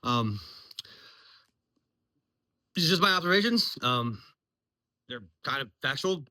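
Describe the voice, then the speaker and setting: A man's voice: medium pitch, expressive, slow, bright, forceful tone. A man of almost fifty, talking off the cuff in a blunt, profane, rambling way full of ums. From Virginia, US. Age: 40s. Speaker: military veteran vlogger. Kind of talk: unscripted monologue.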